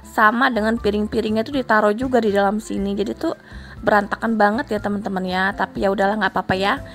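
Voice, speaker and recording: A woman's voice: medium pitch, fairly even intonation, fast talker. A woman vlogger with a stuffy, nasal voice from a cold, chatting casually to her viewers as she narrates her housework. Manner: chatty, keeps addressing viewers, tags lines with soft fillers. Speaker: homemaker vlogger. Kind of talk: casual vlog voice-over. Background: faint background music.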